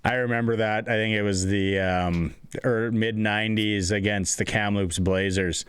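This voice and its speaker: Clear-voiced middle-aged Canadian man. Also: fairly low pitch, steady tone, moderate pace, no room sound. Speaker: sports show interviewer. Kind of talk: casual interview chat.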